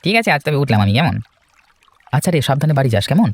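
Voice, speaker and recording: A man's voice: on the high side, big pitch swings, racing. A man playing an earnest, reassuring village husband in a cartoon, talking casually. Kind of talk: cartoon voice acting.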